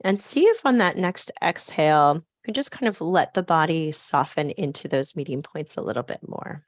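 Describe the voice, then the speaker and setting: A woman with a scratchy, raspy voice, softly leading a slow grounding meditation on a video call. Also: sits low, expressive, no room sound, studio quality. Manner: soft, slow, gently inviting. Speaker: program facilitator.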